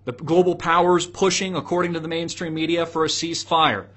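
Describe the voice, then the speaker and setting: A man's voice: a little high, fairly even intonation, fairly quick, a bit bright. A man giving opinionated solo political commentary to viewers, speaking in an emphatic, preachy way with repeated rhetorical questions.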